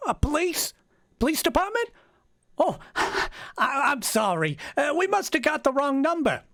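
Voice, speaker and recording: A placating male killer, played by an actor in an old-time radio thriller. His high voice swoops widely as he offers false reassurance over the telephone. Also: very animated, measured pace, bright, forceful tone, exaggerated voice. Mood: coolly placating.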